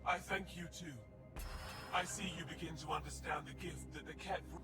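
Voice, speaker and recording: A man voices a haughty alien zealot who declaims with grand, pompous devotion. The voice sits high for a male, set back from the mic, with loud music underneath. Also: fairly even intonation, moderate pace, recorded from a distance, close to an everyday voice. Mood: smug. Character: haughty alien zealot priest, fanatically devout. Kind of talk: video game cutscene acting.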